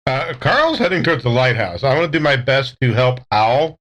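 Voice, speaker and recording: A man's voice: medium pitch, big pitch swings, moderate pace, fairly bright tone. A man playing a tabletop game, casually talking through what his character will do. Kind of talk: casual roleplay chat.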